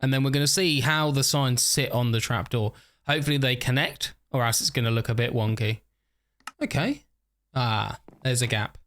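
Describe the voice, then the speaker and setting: A man's voice: mid-range voice, normal speed, a bit bright. A man rambling through casual game commentary, overtired and a bit stuffy and nasal, often laughing at himself. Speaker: gaming YouTuber. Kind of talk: casual let's-play commentary.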